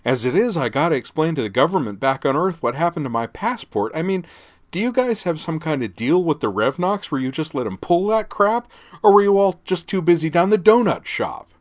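A man voicing a loud, scornful teenage girl tourist who snaps sarcastically at officers. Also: big pitch swings, a little fast. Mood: scornful, bratty. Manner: snappish, sarcastic, shrill.

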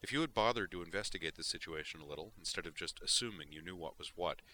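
A man's voice: deep voice, lively intonation, quick pace, bright, forceful tone, slight background noise. A man voicing an angry, weary male starship pilot in a sci-fi audiobook reading. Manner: heated, grumbling.

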